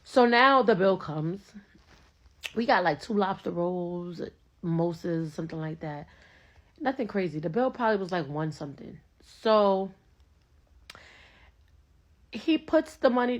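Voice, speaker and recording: A young woman telling a date story in a casual, slangy way, acting out both sides of the conversation. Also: medium pitch. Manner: animated, slangy, acts out both sides of dialogue.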